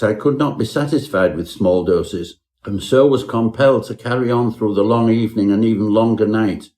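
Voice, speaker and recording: A male reader plays a self-important Edwardian gentleman scholar. His voice sits on the low side. He tells a mock-Victorian ghost story with florid, melodramatic relish, as an audiobook narrator. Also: close to an everyday voice. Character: pompous Edwardian professor narrating his own horror tale.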